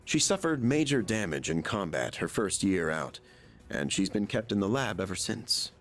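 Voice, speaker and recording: A man's voice: on the low side, very animated, normal speed, a bit bright, soft background music. An actor playing a polite, genial chairman who explains things in a video game. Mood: explaining. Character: polite adult male chairman of a secret research group.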